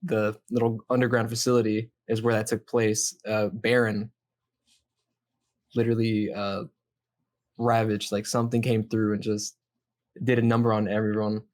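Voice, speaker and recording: A man's voice: on the low side, level tone, moderate pace, long pauses, darkish tone. A man in a casual chat as a host, explaining things in a hesitant, rambling way. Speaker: podcast host explaining a horror series. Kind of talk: casual chat, reacting to videos.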